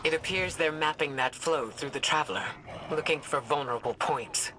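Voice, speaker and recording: An actor playing an earnest, resolute ally in game mission dialogue. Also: male voice, on the high side, normal speed, close to an everyday voice. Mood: resolute, concerned.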